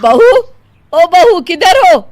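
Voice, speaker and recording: Older mother-in-law played by a woman, high and lively, emphatic, easily exasperated, comic story piece. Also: a little slow, no room sound. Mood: brisk. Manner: emphatic, loud, scolding or calling out. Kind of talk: comic Hindi moral-story dramatization.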